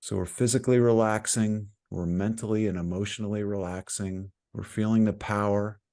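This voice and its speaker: A man leading a guided meditation as a teacher, giving calm, soothing instructions with frequent pauses. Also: lowish voice.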